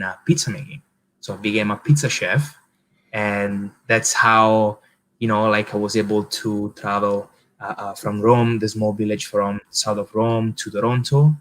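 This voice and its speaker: A man in his twenties with an Italian accent, telling his life story as a guest in a casual interview, with many pauses and fillers. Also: fairly low pitch, a bit bright, poor audio. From Italy. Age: 20s. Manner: hesitant, many fillers and restarts. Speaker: podcast guest, entrepreneur.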